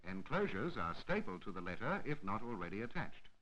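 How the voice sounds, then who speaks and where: A man's voice: lowish voice, very animated, fairly quick, fairly bright tone, lo-fi sound. A man reading scripted narration for a 1960s educational film in a formal, instructional manner.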